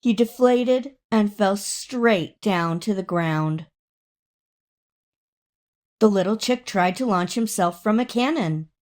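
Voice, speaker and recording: A woman reading a children's story aloud in an expressive, dramatic way, drawing out words for emphasis. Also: mid-range voice. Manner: dramatic, with drawn-out emphasis and acted sound words. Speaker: storybook reader.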